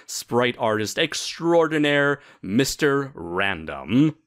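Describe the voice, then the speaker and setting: A man's voice: mid-range voice, sing-song, slow, fairly bright tone. A man hosting a discussion video, speaking hesitantly with many filler words. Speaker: host.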